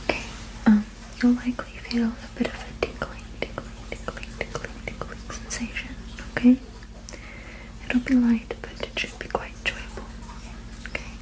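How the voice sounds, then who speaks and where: A woman whispering gently and reassuringly in an ASMR roleplay as a soothing witch healer, with a breathy, unvoiced voice. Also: fairly high pitch, low-quality recording. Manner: gentle, soothing, reassuring, repeating soft words. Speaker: ASMR performer.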